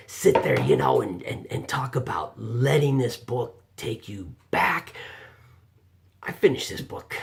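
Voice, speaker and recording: A man giving an informal spoken review as an audiobook reviewer, emphatic, with dramatic pauses and repeated phrases, recorded in a home office. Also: mid-range voice, vocal fry.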